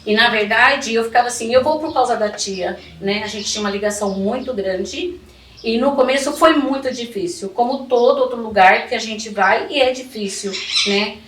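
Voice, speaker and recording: High-voiced São woman, echoey noisy recording. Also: moderate pace, fairly bright tone. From São Paulo, Brazil. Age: middle-aged. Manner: devout, emphatic with repetition, colloquial. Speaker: interview guest telling her life story. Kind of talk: personal testimony in conversation. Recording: echoey, distant mic, clearly noisy.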